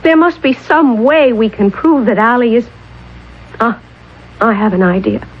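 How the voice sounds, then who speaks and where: A woman's voice: a little high, animated, unhurried, slightly rough. An actress playing an earnest, headstrong woman scientist in a radio drama, urgent and emphatic. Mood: pleading. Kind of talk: 1970s radio drama acting. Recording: dull old radio broadcast recording.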